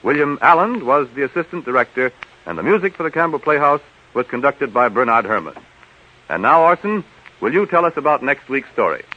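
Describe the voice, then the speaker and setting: A man's voice: medium pitch, animated, fairly quick, dull audio. An American man as a 1930s radio announcer, reading scripted credits and sponsor messages. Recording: band-limited 1930s radio recording with music.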